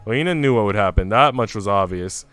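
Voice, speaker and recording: A man narrates a visual novel in an expressive, swinging delivery. His voice is smooth and clean, recorded dry, with music playing underneath. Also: lowish voice, fast talker, no room sound. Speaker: streamer reading a visual novel aloud. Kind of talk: dramatic scripted narration, read aloud.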